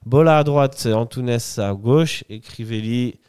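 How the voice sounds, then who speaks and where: A man talks football on a podcast, dropping jokes and hesitations as he works through lineups. His voice is smooth and clear, recorded close and dry. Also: medium pitch, unhurried, a little mellow, no room sound. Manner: jokey, many hesitations, reads team lineups. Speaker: podcast co-host. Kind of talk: casual football analysis chat.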